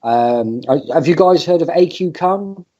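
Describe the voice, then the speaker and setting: A man's voice: fairly high pitch, expressive, unhurried, dark tone. Man with heavy fillers, dull audio. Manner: hesitant, many "uh" fillers. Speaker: podcast co-host. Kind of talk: casual political discussion.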